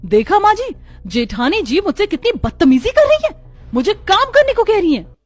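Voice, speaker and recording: A woman's voice: very high voice, big pitch swings, normal speed. A woman voicing a spoiled, scheming young daughter-in-law in a cartoon, sneering and whiny. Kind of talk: Hindi cartoon voice acting.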